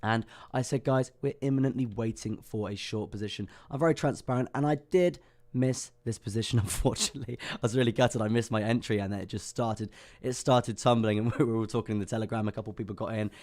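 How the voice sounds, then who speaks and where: Man, young, hoarse lost voice, lowish pitch, chatty livestream host, lots of ums. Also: fast talker, a little mellow. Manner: chatty, self-deprecating, many ums and restarts. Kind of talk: casual market analysis livestream.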